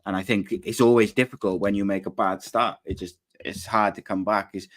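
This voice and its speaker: A man hosting a casual football podcast, talking in a relaxed, hesitant way that often trails off quietly. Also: on the low side, brisk. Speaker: podcast host.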